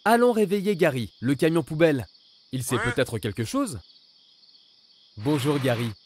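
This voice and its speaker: A man narrating a children's cartoon, gently questioning and reassuring the characters. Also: a little high, very animated, moderate pace, husky.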